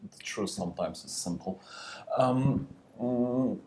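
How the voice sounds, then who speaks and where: Slow, hesitant Silesian-born man. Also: medium pitch, mellow. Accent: Silesian-accented Polish. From Silesia, Poland. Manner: hesitant, many fillers and restarts. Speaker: panel guest novelist. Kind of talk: panel discussion. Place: festival stage.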